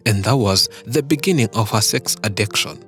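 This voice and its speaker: A man with a fairly low voice reads documentary narration from a script. His delivery is steady and measured. Soft music plays underneath. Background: background music.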